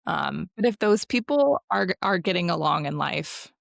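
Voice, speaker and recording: A woman co-hosting a podcast, chatting casually in a halting, slangy way full of likes and ums. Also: on the low side, big pitch swings, gravelly, no room sound, studio quality. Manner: halting, filler-heavy, slangy conversational delivery. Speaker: podcast co-host.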